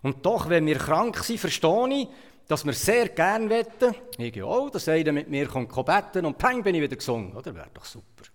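A man from Switzerland with a Swiss German accent, preaching a sermon in a storytelling manner, swinging between loud emphasis and quiet asides. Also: on the high side, big pitch swings, moderate pace. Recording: reverberant hall.

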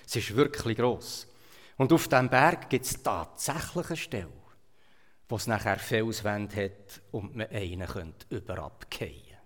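A man from Switzerland with a Swiss German accent, preaching a sermon in a storytelling manner, swinging between loud emphasis and quiet asides. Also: fairly low pitch, expressive, measured pace. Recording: reverberant hall.

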